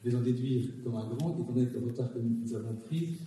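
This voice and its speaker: A man opens a public talk in a formal tone, stopping often on fillers. His voice is dark and mellow. It was recorded from the audience on cassette and sounds far away in a large hall with a long echo. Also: mid-range voice, fast, slight background noise. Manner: formal, hesitant, many fillers. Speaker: moderator. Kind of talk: formal introduction and interview at a public event. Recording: distant, echoey hall on cassette.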